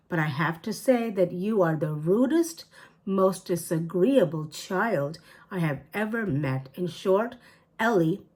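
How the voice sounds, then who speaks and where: A woman voicing a calm, unflappable grandmother with a dry manner in a storybook read-aloud. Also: fairly low pitch.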